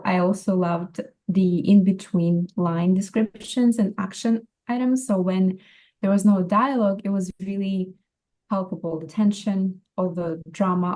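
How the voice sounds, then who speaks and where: A woman's voice: on the low side, dark tone, low-quality recording. A woman giving casual spoken feedback in a group discussion. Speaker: feedback participant.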